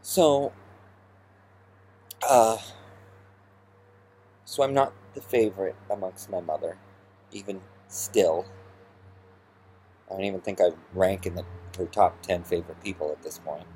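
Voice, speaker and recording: A man with a husky voice ranting through a personal story in an exasperated, sarcastic, profane way. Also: low voice, measured pace, pauses often, dark tone, a little hiss or hum, rough recording. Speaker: vlogger.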